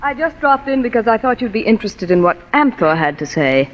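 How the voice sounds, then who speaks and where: An actress playing a sultry, wealthy, teasing femme fatale in a 1940s noir radio drama, with a coy, polished manner. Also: female voice, a little high, big pitch swings, a little fast. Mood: flirtatious. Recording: muffled, reverberant old radio broadcast.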